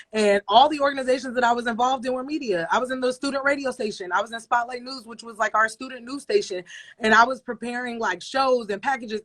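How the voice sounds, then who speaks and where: A young adult woman, a radio personality, talking in a relaxed interview and telling her story with emphasis, often repeating phrases for effect. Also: mid-range voice. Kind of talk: casual interview conversation.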